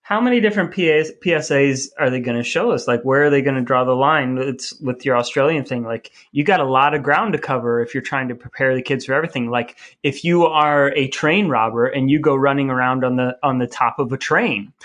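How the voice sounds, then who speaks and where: Guy in his 30s or 40s, medium pitch, rambly wry podcast banter. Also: fairly quick, hardly any pauses, a bit bright. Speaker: podcast co-host. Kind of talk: casual bantering chat.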